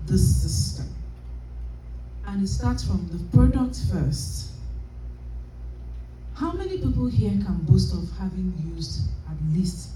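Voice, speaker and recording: A woman's voice: mid-range voice, slow, pauses often, far from the mic, a little hiss or hum. Emphatic woman trainer in an echoey hall. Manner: animated, emphatic, laughs often. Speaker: business trainer. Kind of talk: motivational sales training talk. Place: large convention hall.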